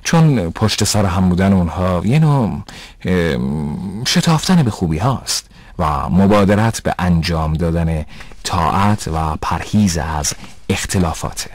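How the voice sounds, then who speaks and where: A man playing a patient, pious father who explains rules in a measured, didactic way in an educational cartoon. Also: low voice, lively intonation, no echo. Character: patient, pious father teaching his son religious rules. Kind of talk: dubbed educational cartoon dialogue.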